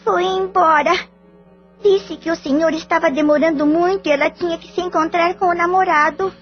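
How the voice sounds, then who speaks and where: Casting: woman playing a grieving little girl, very high, small and plaintive, dull recording with faint music. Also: steady tone, normal speed. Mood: sad. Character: young grieving girl, sad and clinging. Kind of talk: audio drama acting.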